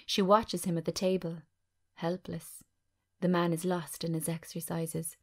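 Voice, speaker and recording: Low-pitched woman narrating a dramatic script. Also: level tone, unhurried. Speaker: narrator reading a screenplay.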